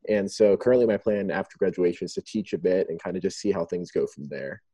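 A young man from Thailand answers interview questions in a thoughtful, rambling way, with frequent pauses. His voice is very dark and mellow, a little husky, and rather low. The remote call recording is dry, with no room echo. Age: young adult. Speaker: student guest.

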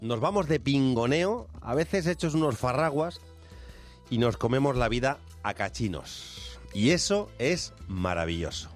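A man's voice: mid-range voice, sing-song, a little slow, soft background music. A man from Extremadura with a Spanish accent, hosting radio with a reflective monologue and chat. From Extremadura, Spain. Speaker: radio host.

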